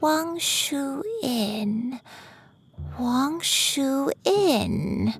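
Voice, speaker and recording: Slow, high-voiced dreamy granny. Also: female voice, lively intonation, faint music behind, exaggerated voice. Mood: wistful. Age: older. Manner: wistful, unhurried. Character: gentle, dreamy elderly granny with fading memory. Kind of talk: video game voice acting.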